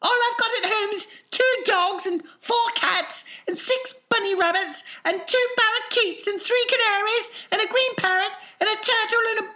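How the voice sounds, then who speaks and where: A man's voice: bright, forceful tone, exaggerated voice. A man reading aloud and voicing a spoiled, demanding young girl who whines and insists on getting her way. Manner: shrill, whining, demanding. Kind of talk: audiobook character voice.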